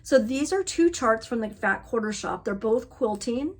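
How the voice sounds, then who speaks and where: Chatty woman, slightly high voice. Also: animated, moderate pace, darkish tone. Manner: chatty, enthusiastic, exclaims over items, laughs often. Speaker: flosstube host. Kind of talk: casual show-and-tell chat.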